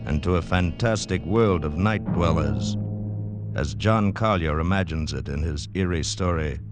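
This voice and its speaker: Brief: very deep male announcer, suspenseful and grave, music under him. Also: measured pace. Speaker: radio announcer. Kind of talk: dramatic show opening. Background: orchestral music.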